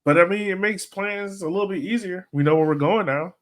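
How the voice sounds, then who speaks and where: A man's voice: sits high, a little fast, a bit bright. A man hosting a fan podcast, chatting casually about soccer in a rambling, colloquial, conversational way. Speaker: podcast host.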